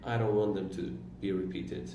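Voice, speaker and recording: A man in his twenties whose first language is Turkish, talking slowly about his own life in a halting way, pausing and correcting himself. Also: sits low, steady tone. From Iran. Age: 20s. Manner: slow, halting, with pauses and self-corrections. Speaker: vlogger. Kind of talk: reflective personal monologue. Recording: roomy, distant mic with faint room noise.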